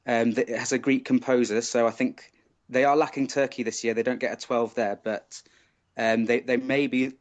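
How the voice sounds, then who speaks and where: Emphatic man giving his verdict. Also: medium pitch. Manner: animated, emphatic, repeats words for stress. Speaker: panelist. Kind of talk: opinionated music commentary.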